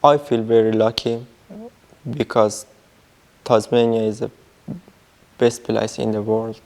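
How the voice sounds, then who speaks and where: Slow hesitant man from Afghanistan. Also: on the low side, little pitch movement, creaky, darkish tone, low-quality recording. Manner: hesitant, with many fillers.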